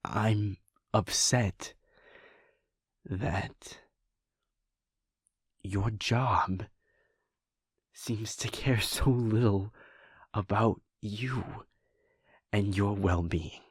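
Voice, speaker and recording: A man talking softly and hesitantly to a partner in an intimate audio roleplay, pausing with sighs and restarts. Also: fairly low pitch, husky, dry recording, studio quality.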